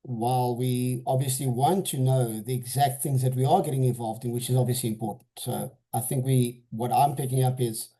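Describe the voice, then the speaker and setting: Man, very warm dark tone, measured friendly remarks on a video call. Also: mid-range voice, fairly even intonation, rough recording. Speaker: shareholder speaking on the call.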